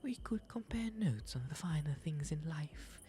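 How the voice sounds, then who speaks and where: A man voicing a refined, gentle owl demon gentleman in a soft, breathy ASMR roleplay, speaking with courteous, eloquent phrasing. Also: on the high side, animated, natural voice. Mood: amused, playful. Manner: genteel, eloquent, unhurried phrasing. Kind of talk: ASMR roleplay, intimate character acting.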